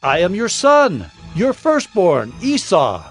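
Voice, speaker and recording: Eager biblical hunter son, high male pitch, animated, audio drama, music underneath. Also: takes it slowly, exaggerated voice. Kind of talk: dramatized audio Bible acting. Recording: music bed behind the voice.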